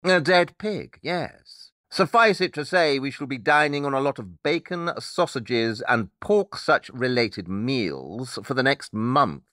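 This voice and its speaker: A man's voice: medium pitch, expressive, measured pace, few pauses, pushed and bright, no echo. A man playing a brilliant, eccentric detective in an audio drama, dry, matter-of-fact and condescending. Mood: dry, sardonic.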